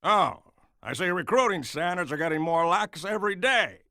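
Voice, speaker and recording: A man's voice: sits high, lively intonation, normal speed, bright, forceful tone, no room sound, clean studio sound, exaggerated voice. A man voicing a bossy, sarcastic cartoon bee commander who barks out military briefings. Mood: sarcastic, commanding.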